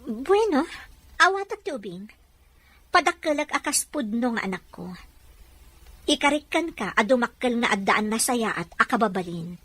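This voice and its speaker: A woman playing a kindly married woman taking in a baby, expressive and questioning, in an Ilocano audio drama. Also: on the high side, normal speed, long pauses, no room sound. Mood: warm, assuring.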